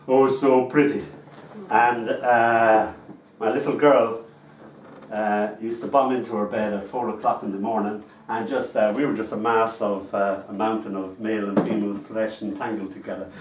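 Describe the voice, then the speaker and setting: A man's voice: on the low side, steady tone, normal speed, a little hiss or hum. An Irish man giving a live poetry reading, telling wry, chatty stories between poems. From Ireland. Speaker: poet. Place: small live performance venue. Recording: echoey room picked up from a distance.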